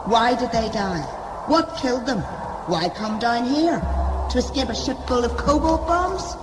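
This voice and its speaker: A woman's voice: on the high side, a little slow, noisy background, close to an everyday voice. A woman acting in an audio drama as the stern, analytical leader of a convict search party, with a curt, cutting manner. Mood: irritated, commanding. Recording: echoey with music underneath.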